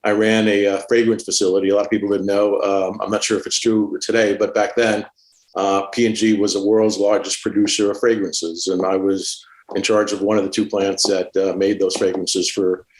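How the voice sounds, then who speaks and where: Low-voiced man in an echoey room. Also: little pitch movement, darkish tone. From born in Cuba, grew up in Staten Island, New York. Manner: measured, with frequent "uh" fillers. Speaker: podcast guest, supply chain executive. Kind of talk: conversational career story.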